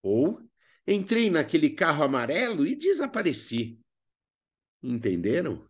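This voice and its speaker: A man with a Brazilian accent presenting an instructional lesson in a didactic, expressive way with colloquial asides. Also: a little high, unhurried, breathy edge. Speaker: drama teacher presenting a video lesson. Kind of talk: instructional narration with acted examples.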